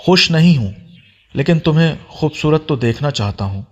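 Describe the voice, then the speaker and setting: A man's voice: a little high, lively intonation, moderate pace, dull audio. A man voicing an angry, threatening young man in a romance audio story, with a commanding manner. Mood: possessive.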